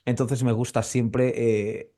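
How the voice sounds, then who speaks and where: A man from Portugal hosts a podcast interview in an average-pitched voice. He talks conversationally, feeling his way through sentences and circling back to repeat phrases for emphasis. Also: little pitch movement, takes it slowly, mellow. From Portugal, moved to Spain as a child. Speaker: podcast host.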